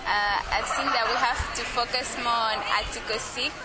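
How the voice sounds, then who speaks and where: A Zambian woman speaks in a fairly high voice as a delegate. She is surrounded by a very loud crowd and heavy outdoor noise. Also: steady tone, moderate pace. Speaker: youth conference delegate. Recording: very noisy crowded outdoor venue.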